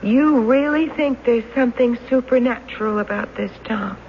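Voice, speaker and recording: A woman's voice: on the high side, moderate pace, close to an everyday voice. An actress in a 1970s radio drama playing an anxious, skeptical woman who argues emphatically for reason. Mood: worried. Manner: emphatic, insistent. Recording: dull, noisy 1970s radio recording.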